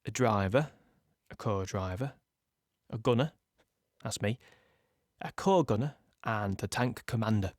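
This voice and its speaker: An actor playing a young British tank gunner in an audio play monologue, plain-spoken and reflective, in short halting phrases. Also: male voice, lowish voice, no room sound, studio quality, natural voice. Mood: proud, cheerful.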